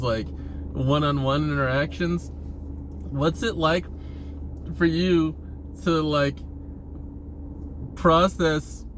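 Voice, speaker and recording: A man's voice: fairly high pitch, slight background noise. A man talking casually in a rambling monologue, hesitant and often restarting his phrases, as a vlogger posing questions.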